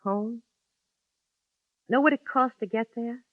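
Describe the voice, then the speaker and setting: Brief: a scared, worn-down young western woman, high and very expressive, dull vintage sound. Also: slow, no room sound. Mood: confiding. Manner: urgent, pleading. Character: frightened young saloon woman, worn down and lonesome. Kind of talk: 1950s radio drama acting. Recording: dull lo-fi 1950s radio recording.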